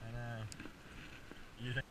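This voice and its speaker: A young man talks in a loose, slangy way as he fishes, often exclaiming. His voice sits in a middle pitch and comes from a distant mic, with clear outdoor noise around it. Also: monotone, slow. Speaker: fishing vlogger. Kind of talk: casual excited chat while fishing. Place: outdoors on a small boat on a lake. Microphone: action camera worn on the body.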